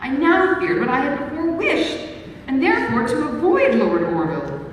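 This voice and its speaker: A woman giving a lively, theatrical reading of a novel at a book launch, first-person narration. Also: moderate pace, a little hiss or hum. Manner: lively, theatrical, swoops in pitch. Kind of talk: expressive dramatic reading of a novel. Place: book launch event hall. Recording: echoey hall from far off.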